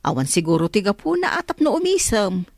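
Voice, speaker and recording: A woman's voice: medium pitch, big pitch swings, fairly quick, no room sound, clean studio sound. A woman playing a curious, kindly female relative in a radio drama, with an Ilocano accent. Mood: curious, approving.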